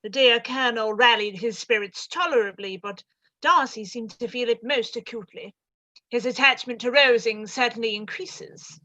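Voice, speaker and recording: Brief: imperious aristocratic dowager, played by a woman, condescending, crisp and forceful, lo-fi mic. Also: fairly high pitch. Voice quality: haughty, condescending, drawn-out. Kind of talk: live-read radio play.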